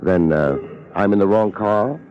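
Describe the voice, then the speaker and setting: A man playing a deep-voiced wisecracking radio private eye. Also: unhurried, natural voice. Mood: wry, intrigued. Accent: American. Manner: wry, slangy, hard-boiled wisecracks. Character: laid-back, wisecracking, tough male private investigator. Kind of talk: 1950s radio detective drama acting with narration. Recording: dull, lo-fi 1950s radio recording.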